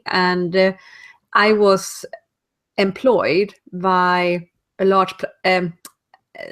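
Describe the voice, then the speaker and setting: A woman with a Swedish accent speaks in a relaxed interview over a video call. She talks thoughtfully, sometimes stopping to search for the right English word. Her pitch sits in the middle range. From Sweden. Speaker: guest, speaker and author. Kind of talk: casual interview answers.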